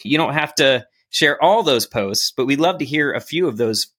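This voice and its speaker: Male podcast host, mid-pitch, bright tense tone, dry close recording, affirming and agreeable. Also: animated, moderate pace, dry recording. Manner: affirming, with short agreeing interjections. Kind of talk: conversational interview.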